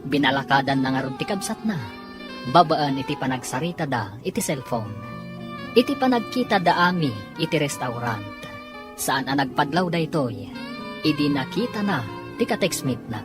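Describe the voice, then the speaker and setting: A woman's voice: low voice, animated, moderate pace. A woman delivering theatrical scripted narration as a radio serial announcer. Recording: music bed behind voice. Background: clear music.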